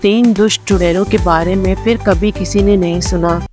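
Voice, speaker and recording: A storyteller narrates a scripted children's fairy tale. The voice sits at a middling pitch. Music plays clearly underneath throughout. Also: steady tone, moderate pace. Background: background music.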